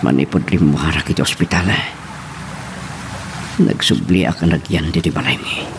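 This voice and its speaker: A man's voice: very deep voice, moderate pace. A man playing a brooding, remorseful character in a somber, reflective radio drama monologue. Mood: somber, regretful. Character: brooding, remorseful man narrating his own story. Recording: noisy storm-like ambience behind voice.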